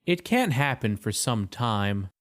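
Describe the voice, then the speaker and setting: A man voicing a kindly, steady tin woodman in a storybook reading. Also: medium pitch, slow pace, no echo, clean studio sound.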